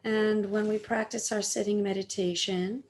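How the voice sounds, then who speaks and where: A woman teaching meditation, giving gentle, soothing guided instructions with long pauses between phrases. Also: medium pitch, little pitch movement, rough recording.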